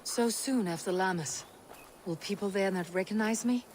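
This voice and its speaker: Viking shield-maiden played by a woman: steady, wry, measured game acting, faint ambience behind. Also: medium pitch, background noise, close to an everyday voice. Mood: calm, friendly. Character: hardy young adult female Viking warrior, steady, wry. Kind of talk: video game cutscene acting.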